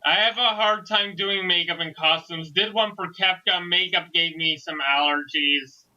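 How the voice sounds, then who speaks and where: High, very bright-voiced man, lo-fi sound. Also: normal speed. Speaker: co-host. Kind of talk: casual group chat.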